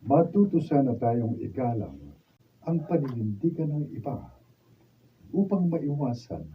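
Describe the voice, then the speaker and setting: A man reading scripted narration as a radio drama announcer, measured and declamatory. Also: medium pitch, expressive. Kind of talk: scripted narration, closing credits. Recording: distant, muffled, faintly noisy.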